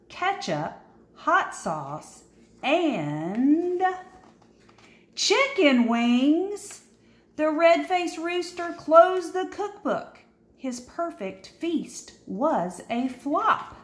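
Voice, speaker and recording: A woman reading a children's picture book aloud expressively, with dramatic pauses and emphasis, recorded in a roomy, echoing indoor space. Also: high voice, sing-song. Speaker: storybook reader.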